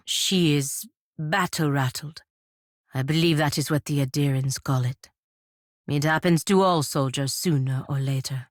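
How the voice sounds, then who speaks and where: An actress playing a proud, stern, loyal warrior envoy in a fantasy video game, speaking in a formal, deliberate, earnest manner. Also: female voice, sits low, dry recording, clean studio sound. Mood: dry, scornful. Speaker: game character voice actor. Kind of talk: scripted fantasy game dialogue.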